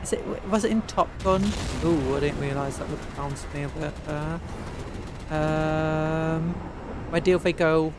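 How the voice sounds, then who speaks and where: A man's voice: a little high, fairly even intonation, unhurried. A British man streaming a video game with casual, playful commentary, full of childlike exclamations and sing-song filler noises. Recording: noisy, game vehicle sounds behind. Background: video game vehicle noise.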